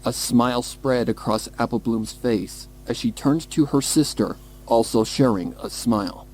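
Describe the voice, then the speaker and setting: A man's voice: medium pitch, a little slow, darkish tone, a little hiss or hum, poor audio. A man reading a horror story aloud in a dramatic, scripted narrator's style. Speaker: narrator of a horror fan fiction.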